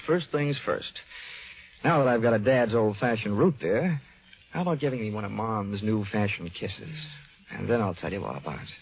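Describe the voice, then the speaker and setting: An actor playing a wry, hardboiled, flirtatious insurance investigator in 1940s radio noir style. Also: male voice, lowish voice, expressive, normal speed, a little hiss or hum. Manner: wry, wisecracking. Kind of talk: 1940s radio acting, first-person noir narration. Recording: dull 1940s radio broadcast with music.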